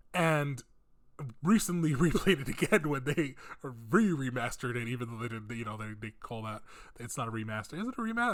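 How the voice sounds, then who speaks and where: A man's voice: mid-range voice, fairly bright tone. A man hosting a casual opinion video, rambling conversationally and thinking aloud with emphatic points. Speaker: video host giving opinions. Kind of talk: casual rant commentary on video game films.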